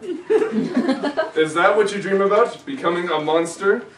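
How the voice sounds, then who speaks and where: A man's voice: sits high, sing-song, slow pace, a little hiss or hum, full character voice. A man playing a brooding, protective young vampire lover in a comic dramatic script reading. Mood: angry, brooding. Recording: echoey room, far from camera mic.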